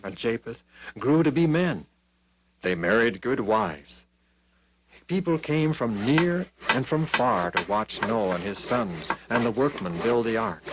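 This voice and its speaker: A man narrating children's Bible stories in a theatrical, scripted style with deliberate pauses and stretched words. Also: medium pitch, noisy background. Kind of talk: scripted dramatic storytelling. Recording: music behind the voice.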